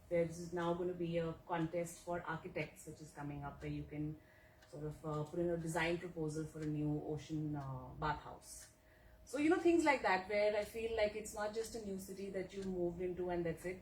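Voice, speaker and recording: A woman giving a casual, chatty presentation at a welcome event, with fillers and asides. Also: lowish voice. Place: office meeting room. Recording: echoey room, mic far off, background noise.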